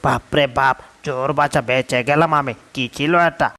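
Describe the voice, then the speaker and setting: Cartoon dub role: a man as a bewildered, stammering fellow, tense sharp tone, narrow range. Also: mid-range voice, flat delivery, moderate pace, gravelly. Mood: bewildered, panicked. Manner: stammering, muttering, exclamatory. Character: flustered, bewildered male cartoon character. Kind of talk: Bengali comedy cartoon dub.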